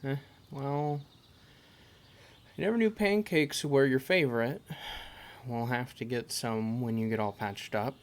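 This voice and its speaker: A man playing a caring, teasing adventurer who comforts a party member, in a gentle, halting, conversational amateur audio roleplay. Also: mid-range voice, lightly acted. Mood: amused, teasing.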